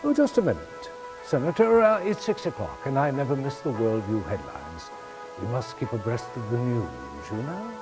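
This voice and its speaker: An older man playing a falsely polite, menacing corporate chairman in a video game, speaking in a measured, condescending way. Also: mid-range voice, big pitch swings, music in the background, natural voice, low-quality recording. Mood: casually sly. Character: smooth, menacing older male chairman of a ruthless consortium. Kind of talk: dramatic video game cutscene acting.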